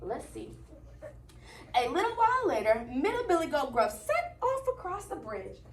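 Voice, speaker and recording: High-pitched, lively woman reading a children's story. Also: moderate pace. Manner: expressive, prompting listeners to fill in words. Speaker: story reader leading young children. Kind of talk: animated children's read-aloud with questions. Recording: echoey room, distant mic, faint noise.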